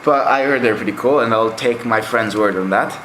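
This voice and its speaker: A man's voice: medium pitch, a little fast, a bit bright, slight background noise. A young man whose first language is Greek gives a casual, rambling review of his game collection, with many fillers. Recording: echoey room.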